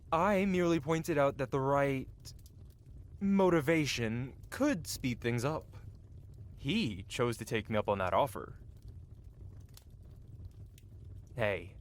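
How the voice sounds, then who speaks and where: A man playing a cocky, flirtatious medieval blacksmith in a fantasy ASMR roleplay, with a playful, teasing and theatrical way of talking. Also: mid-range voice.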